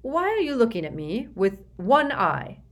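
A woman reading the part of a cold, quick-tempered male headmaster in an audiobook, with cool, clipped questions. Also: fairly high pitch, sing-song, pitched above the performer's own voice. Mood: stern.

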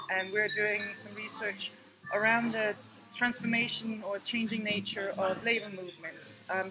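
A woman from Denmark, a professor, answering interview questions in a tea room in a thoughtful, measured way with many fillers. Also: medium pitch, rough recording. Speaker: professor, guest. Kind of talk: podcast interview.

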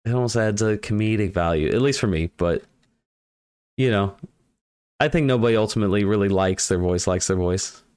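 A man hosting a casual interview, chatty and upbeat with quick reactions. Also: lowish voice, a little mellow, clear, resonant tone, dry recording, studio quality. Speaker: podcast host.

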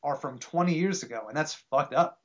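A man's voice: a little high, a little fast, fairly bright tone. A man of thirty hosting a podcast, chatting casually with guests, laid-back and swearing often with easy laughs. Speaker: podcast host. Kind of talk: casual comedic chat. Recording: dull lo-fi podcast audio.

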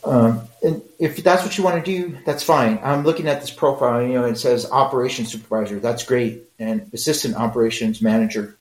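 Man, Mexican accent, middle-aged, mid-range pitch, frank rambling podcast talk, echoey room. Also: fairly quick, few pauses. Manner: frank, conversational, rambling with asides. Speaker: podcast host. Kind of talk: solo podcast monologue.

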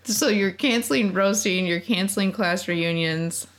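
A woman in her thirties co-hosting a podcast, chatty and teasing, quick to laugh. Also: medium pitch. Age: 30s. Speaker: podcast co-host. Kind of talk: casual chat.

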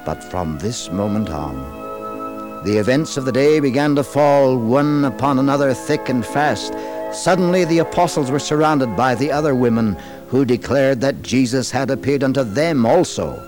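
An American man narrating a dramatized religious story in a solemn, theatrical, measured old-radio style. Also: mid-range voice. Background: music.

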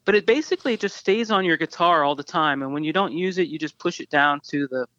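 A man in his early fifties with a high, bright, forceful voice, talking in a loose, rambling way full of restarts and fillers. The sound is dry and slightly dull, like lo-fi audio. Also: fast talker, dry recording. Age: 50s. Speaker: guest musician. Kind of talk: casual interview about guitars.

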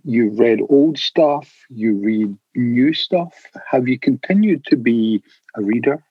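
Husky male interviewer, dry sound. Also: medium pitch, slow, no room sound, phone-quality sound. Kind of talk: conversational interview questions.

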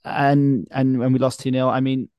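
A man's voice: medium pitch, little pitch movement, normal speed, clean tone, no echo, highs cut off. A man hosting a football podcast in casual, conversational chat. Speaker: podcast host. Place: video call podcast.

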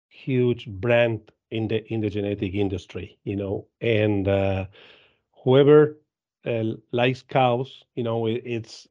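A man in his fifties from Argentina with an Argentine Spanish accent, answering interview questions in halting English with many repeats and fillers. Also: fairly low pitch, a little mellow, lo-fi sound. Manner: halting, frequent repeats and "uh", laughs easily. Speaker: guest, dairy genetics business director.

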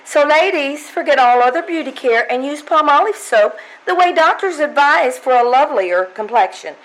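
A gushing vintage radio saleswoman played by a woman, emphatic on every selling word. Her fairly high voice swoops with great animation. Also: animated, normal speed, fairly bright tone, low-quality recording. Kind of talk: 1940s radio commercial read.

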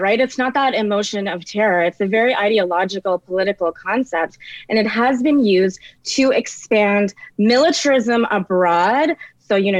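A woman's voice: mid-range voice, no room sound, dull audio. A woman giving analytical commentary as a guest, explaining and answering her own questions, over a video call. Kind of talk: conversational political commentary.

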